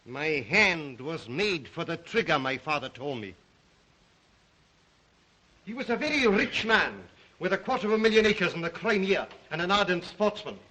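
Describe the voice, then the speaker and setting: A Russian-accented count obsessed with the hunt. The voice is high for a man and cuts brightly. He speaks with courtly, brooding deliberation in the style of old film melodrama. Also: sing-song, moderate pace, a little hiss or hum, low-quality recording. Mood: proud, boastful. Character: aristocratic Russian count, suave, obsessive big game hunter. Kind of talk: 1930s film-era melodramatic acting.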